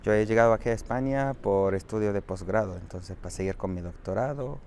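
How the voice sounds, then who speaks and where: A man in his thirties with a fairly low voice, answering interview questions on a city street. He talks thoughtfully and explains at length, often stopping mid-word to correct himself. Faint traffic hums behind him. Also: fairly quick, darkish tone. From Saudi Arabia. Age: 30s. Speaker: interviewee, doctoral student. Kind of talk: casual interview.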